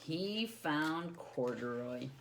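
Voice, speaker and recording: Animated, unhurried woman reading to children. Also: deep voice, slight background noise, poor audio. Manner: expressive, simple explanations, addresses children directly. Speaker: storytime host reading to children. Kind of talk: read-aloud of a children's picture book with questions to young listeners.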